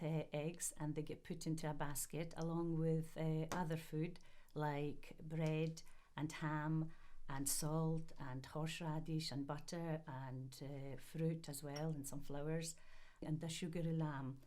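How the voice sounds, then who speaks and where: A middle-aged woman from Poland whose first language is Polish, speaking reflectively and hesitantly in an interview, with frequent pauses. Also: deep voice, darkish tone.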